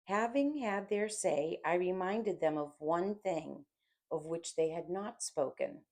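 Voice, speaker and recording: A woman narrates an audiobook in a somewhat low voice. Her pace is very slow and deliberate, and her reading is solemn and dramatic. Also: a little mellow. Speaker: audiobook reader.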